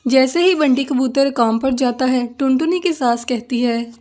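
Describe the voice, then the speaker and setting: A woman with a high-pitched voice narrates a children's animated tale. Her delivery is scripted storytelling in the third person. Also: a little slow.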